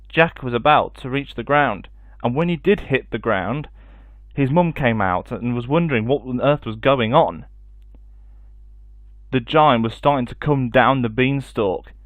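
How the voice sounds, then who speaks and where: A man's voice: medium pitch, a bit bright, no room sound. Man reading a children's story.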